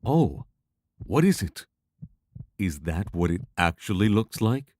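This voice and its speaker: A man's voice: low-pitched, sing-song, takes it slowly, a little mellow, dry recording, studio quality, heavily acted. A man playing an anxious, skeptical adventurer in an audio drama, speaking in a hesitant, fretful way. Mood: worried.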